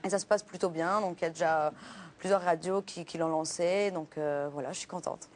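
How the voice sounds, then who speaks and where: A woman's voice: lowish voice, steady tone, a little fast, slight background noise. A woman in her twenties with a Portuguese accent, chatting as a guest on a talk show and hesitating with lots of fillers. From Luxembourg. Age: 20s. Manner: hesitant, many fillers and restarts. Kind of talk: casual interview chat.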